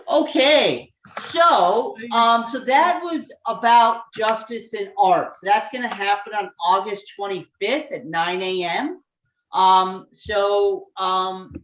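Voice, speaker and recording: Slow-talking woman hosting a video call. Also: medium pitch, a bit bright, reverberant, a little hiss or hum. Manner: chatty, halting, many ums and restarts. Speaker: online meeting host. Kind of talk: casual video-call facilitation.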